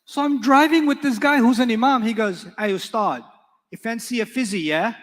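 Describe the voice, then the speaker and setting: Playful, high-voiced man teaching in a hall. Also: normal speed. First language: Urdu. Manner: playful, joking, quizzes students in call-and-response. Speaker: Arabic teacher. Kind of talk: live classroom lecture with storytelling.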